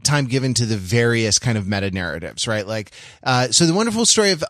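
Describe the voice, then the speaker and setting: A man hosting a casual podcast discussion, talking in a rambling, halting way and often repeating words mid-sentence. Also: medium pitch, a bit bright, no room sound, studio quality. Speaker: podcast host.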